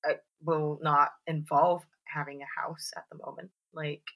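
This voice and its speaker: A woman's voice: deep voice, flat delivery, unhurried, fairly bright tone. A woman chatting casually on a podcast, full of fillers and "like"s, who acts out the voices she quotes. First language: English. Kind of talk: casual chat.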